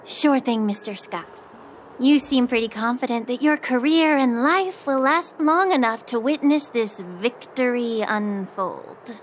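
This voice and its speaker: A woman voicing a composed port official in a video game, polite with deadpan, teasing sarcasm. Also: fairly high pitch, measured pace, a little hiss or hum, lightly acted. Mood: sarcastic.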